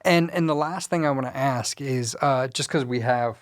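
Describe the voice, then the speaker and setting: A man's voice: mid-range voice, normal speed, no echo, studio quality. A man hosting a podcast interview in a polite, encouraging, conversational way.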